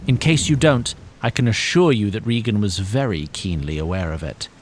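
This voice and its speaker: An actor playing a wry, theatrical storyteller, narrating a fantasy tale in formal, florid language. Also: male voice, lowish voice, moderate pace, slight background noise, natural voice. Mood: grave storytelling. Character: wry, theatrical storyteller addressing his listeners. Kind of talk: scripted dramatic fantasy narration.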